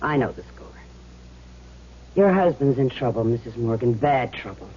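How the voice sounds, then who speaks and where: Animated, good-hearted tough woman, old radio drama. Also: extremely low pitch, normal speed, husky, exaggerated voice. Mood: guarded, businesslike. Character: tough, good-hearted young woman gambler defying a wicked ghost. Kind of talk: 1970s radio drama acting. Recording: muffled, hissy old radio recording with hum.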